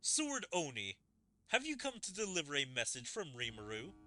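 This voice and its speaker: A man's voice: a little high, wildly swooping pitch, bright, forceful tone, full character voice. Man putting on a stern, commanding warrior king. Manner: formal, measured, commanding. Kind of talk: reading game dialogue aloud in character.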